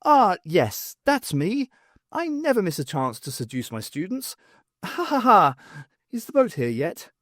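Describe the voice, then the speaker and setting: A man acts out a worldly woman lecturer for an audiobook, brisk and wry with a flustered edge. The pitch is fairly high and very mobile, in a close, dry recording. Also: big pitch swings, a bit bright, no echo, full character voice. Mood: flustered, sarcastic. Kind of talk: audiobook character acting.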